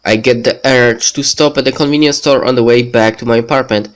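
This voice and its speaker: A man who is a bit sick hosts a let's play, reading a visual novel script aloud in a halting, stumbling way. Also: medium pitch, fairly even intonation. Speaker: let's play video host. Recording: music behind the voice. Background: background game music.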